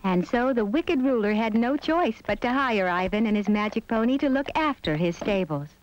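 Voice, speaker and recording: A woman's voice: on the high side, lively intonation, moderate pace. A woman storyteller reading scripted narration for a children's fairy tale. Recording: dull lo-fi soundtrack with music behind. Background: background music.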